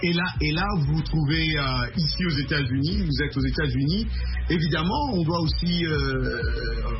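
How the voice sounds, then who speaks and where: A man interviewing a guest on the radio, chatty and quick with short affirmations. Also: fairly high pitch. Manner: chatty, lots of brief affirmations. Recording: muffled radio broadcast with music behind.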